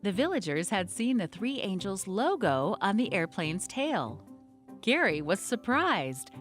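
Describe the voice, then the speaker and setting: Woman narrator, scripted storytelling, animated delivery, faint music underneath. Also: mid-range voice.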